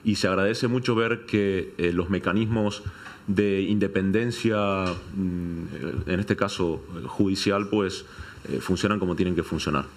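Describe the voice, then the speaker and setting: A man from Argentina giving his opinion on a discussion panel, setting out points deliberately with pauses to find words. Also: low voice, slight background noise. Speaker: panelist, businessman. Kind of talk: conversational opinion in a round-table debate.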